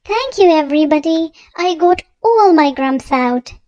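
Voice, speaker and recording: A storyteller voicing a high-pitched grumpy boy chimp. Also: lively intonation, measured pace, a little mellow, dry recording, lo-fi sound. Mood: pleased.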